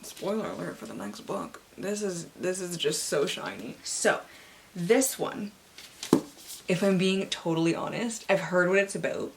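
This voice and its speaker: A woman presenting a book haul vlog, excitable and theatrical, with dramatic emphasis as she reads. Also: on the low side, unhurried, vocal fry, noisy background. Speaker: book reviewer and vlogger.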